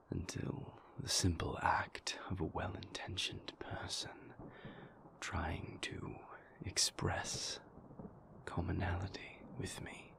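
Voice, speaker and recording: A man playing a brooding, remorseful vampire boyfriend in an intimate audio roleplay, with a breathy, gravelly near-whisper and halting, formal, confessional phrasing. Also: low-pitched, heavily acted. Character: brooding, remorseful male vampire boyfriend, former royal enforcer.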